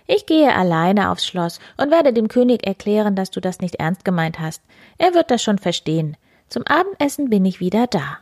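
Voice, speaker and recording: A woman voicing a desperate young miller's daughter in a storybook tale, high and pleading. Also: big pitch swings, moderate pace, darkish tone, no room sound, studio quality. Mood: earnest.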